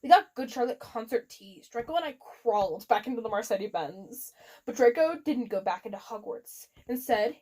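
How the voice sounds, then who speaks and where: A woman's voice: on the high side, expressive, normal speed, warm tone. A woman giving a comic dramatic reading of fan fiction, theatrical and prone to giggling. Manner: theatrical, giggly, often breaking up. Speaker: reader.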